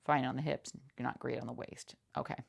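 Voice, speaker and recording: A woman speaking softly and casually in an ASMR roleplay as a seamstress, halting and often repeating small reassurances in a murmur. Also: a bass voice, fairly even intonation, vocal fry. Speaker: ASMR creator playing a seamstress. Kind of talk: soft-spoken ASMR roleplay.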